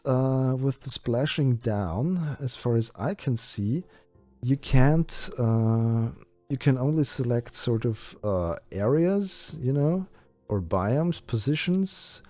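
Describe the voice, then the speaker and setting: German-native man, game streamer, unhurried thinking-aloud delivery, lively pitch. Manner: thinks aloud with many fillers and restarts. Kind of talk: live-stream gameplay chat.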